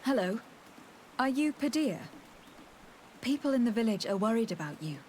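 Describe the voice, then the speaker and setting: A woman's voice: fairly high pitch, sing-song, moderate pace, a little mellow, slight background noise. A woman playing a courteous, earnest diplomat in a video game, with formal phrasing. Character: courteous female diplomat adventurer, earnest and persistent. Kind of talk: video game dialogue acting.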